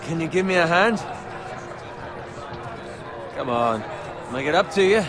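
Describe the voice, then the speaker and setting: An actor playing a bitter, envious, foul-mouthed young squire in a medieval fantasy video game. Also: male voice, fairly high pitch, animated, measured pace, crowd noise. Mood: bossy, griping. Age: young adult. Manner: griping, sneering, swearing. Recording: music behind the voice.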